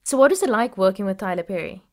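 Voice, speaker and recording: A woman's voice: mid-range voice, expressive, quick pace. A woman from Africa interviewing an actor, chatty and full of filler words. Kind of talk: interview questions.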